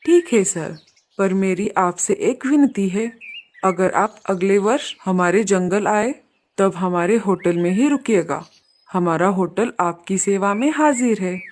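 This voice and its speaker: A polite male crow innkeeper from a children's animal cartoon, voiced by a woman in a middle pitch. He talks earnestly and courteously, humble and full of gratitude. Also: expressive, takes it slowly, a little mellow. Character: polite, grateful male crow innkeeper Kalu and bird guests. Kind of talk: Hindi children's cartoon story voice acting.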